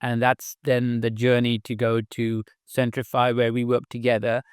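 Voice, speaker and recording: A man's voice: medium pitch, monotone, moderate pace, smooth, no room sound, studio quality. A middle-aged man from England with a Birmingham accent, chatting casually as a podcast guest, tagging his sentences with "right?". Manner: chatty, frequent "right?" tags and light laughs. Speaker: startup founder, guest.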